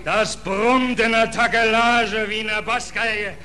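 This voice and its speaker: A man's voice: high-pitched, fairly even intonation, slow. An actor playing a weary, haunted seaman with brooding, repetitive phrasing in a 1940s German radio drama. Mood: feverish dread. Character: weary, haunted seaman telling of horrors at sea. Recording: old radio play, loud music behind.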